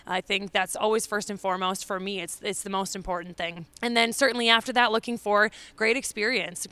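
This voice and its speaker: A woman's voice: medium pitch, fairly bright tone, smooth, no room sound. An American woman, a college basketball head coach, talking enthusiastically and conversationally in a sit-down interview.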